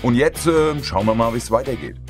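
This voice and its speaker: Let's player, male, slightly stuffy, joking to viewers over loud music. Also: mid-range voice, expressive, normal speed. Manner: chatty, joking asides to viewers. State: a bit stuffy from a cold. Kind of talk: casual gameplay commentary. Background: loud game music.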